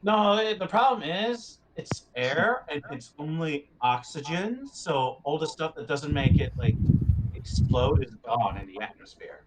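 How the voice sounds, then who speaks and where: A man's voice: fairly high pitch, sing-song, measured pace. A man chatting casually as a player in a tabletop roleplaying game. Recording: clearly noisy with clicks.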